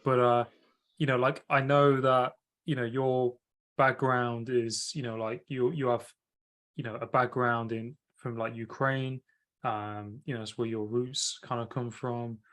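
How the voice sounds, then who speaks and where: Host: man, mid-pitched voice, loose and halting, lots of filler. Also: flat delivery, a bit bright. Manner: rambling, halting, full of "you know". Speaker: podcast host. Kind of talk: casual interview talk.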